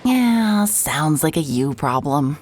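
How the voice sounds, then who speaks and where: An actress playing a sardonic, hard-hearted private investigator who talks in dry, wisecracking sarcasm. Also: female voice, low-pitched, big pitch swings, slow pace, noisy background, exaggerated voice. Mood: sarcastic. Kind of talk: audio drama acting and first-person narration.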